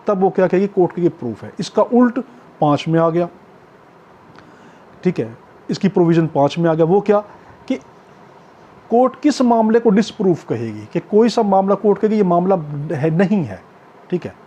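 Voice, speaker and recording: A man with a Pakistani accent giving an explanatory law lecture, going step by step, repeating points, checking in often and slowing down to read clauses in English. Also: sits high, big pitch swings, dark tone, muffled recording. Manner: repetitive step-by-step explaining with frequent check-ins; switches into slow English when reading out clauses. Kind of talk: explanatory exam-prep lecture, reading clauses and glossing them.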